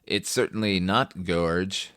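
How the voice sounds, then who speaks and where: Low, dry-sounding male podcast reviewer. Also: takes it slowly, clear, resonant tone, no echo. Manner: wry, deadpan humour. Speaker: podcast host reviewing films. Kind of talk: scripted film review commentary.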